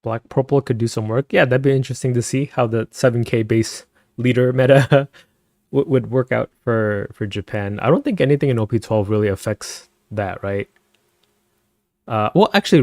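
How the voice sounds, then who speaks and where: A man chatting casually with viewers on a live stream, speaking softly and keeping his voice down at night at home, and laughing often. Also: fairly quick, no room sound, clean studio sound. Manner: soft-spoken, keeps voice low at night.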